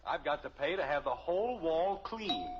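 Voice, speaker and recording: Exasperated, excitable radio sitcom dad. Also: male voice, fairly high pitch, moderate pace. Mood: exasperated disbelief. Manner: sputtering, sarcastic, long-suffering. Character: exasperated middle-aged suburban father, easily flustered. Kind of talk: 1950s radio family comedy acting. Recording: muffled old radio recording, faint hiss.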